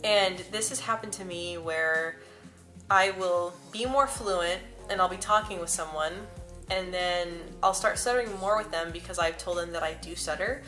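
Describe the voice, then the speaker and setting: A young woman talks casually to camera, often stuttering on sounds and whole words. Her voice is bright and sits slightly low. Soft music plays faintly behind her. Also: normal speed. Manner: earnest and personal. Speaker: vlogger. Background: soft background music.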